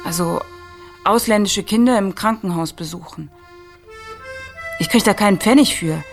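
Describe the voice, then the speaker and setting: A woman's voice: medium pitch, normal speed, close to an everyday voice. Radio play: actress as young female volunteer, blunt and colloquial, mid-range voice, light music bed. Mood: candid. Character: blunt, casual young German volunteer hospital visitor.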